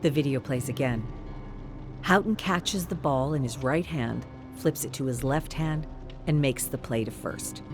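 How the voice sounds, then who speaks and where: A woman narrates a scripted story in a low-pitched voice. Music plays clearly behind her as she reads in an audiobook style. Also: a little slow.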